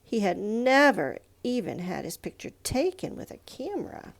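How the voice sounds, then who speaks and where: A woman reading a children's picture book aloud in a measured, expressive storytelling manner, with pauses. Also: fairly high pitch, gravelly. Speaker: storybook reader.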